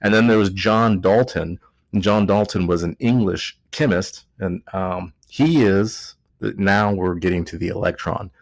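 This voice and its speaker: A man's voice: low voice, measured pace, no echo. A man with a Texan accent hosting a podcast, a few beers in, rambling and joking as he corrects himself. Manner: rambling, jokey, self-correcting. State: tipsy from beer. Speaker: podcast host. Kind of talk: casual joking chat.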